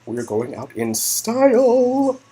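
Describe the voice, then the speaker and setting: A man's voice: extremely high pitch, wildly swooping pitch, takes it slowly, warm tone, husky, voice pushed up, heavily acted. Man putting on a gushing, dramatic Hollywood best friend. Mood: gushing, thrilled. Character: excitable flamboyant young man, Hollywood socialite best friend. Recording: music in background.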